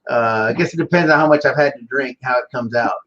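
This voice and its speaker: A man's voice: mid-range voice, quick pace, lo-fi sound, noisy background. A man from Houston with a Texas accent and Spanish as his first language, chatting casually as an interview guest. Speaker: interview guest, business owner. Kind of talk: casual chat about his background.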